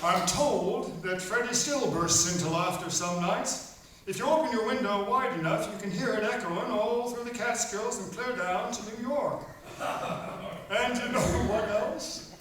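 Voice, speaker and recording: High-voiced man narrating in an echoey hall. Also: measured pace, a bit bright. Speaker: narrator and host. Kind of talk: storytelling and audience address at a live show. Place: live stage performance before an audience. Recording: very echoey noisy hall, distant mic.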